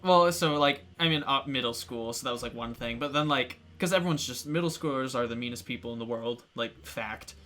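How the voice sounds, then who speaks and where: A man's voice: mid-range voice, brisk, hardly any pauses, pushed and bright. A young man hosting a stream, chatting casually with frequent laughter and filler words. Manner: laughs easily, lots of fillers. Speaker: stream host. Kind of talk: casual chat.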